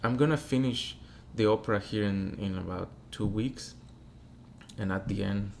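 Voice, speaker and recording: A man's voice: on the low side, moderate pace, creaky, slight background noise. A man voicing a genial but pushy male opera mentor who keeps coaxing his guest. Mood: decisive.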